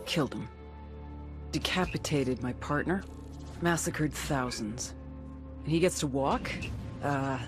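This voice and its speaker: A hard-bitten woman in intelligence work, bitter and defiant. She talks bluntly and intensely, in the manner of a cinematic game drama, with prominent music behind her. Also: low voice. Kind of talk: cinematic video game acting.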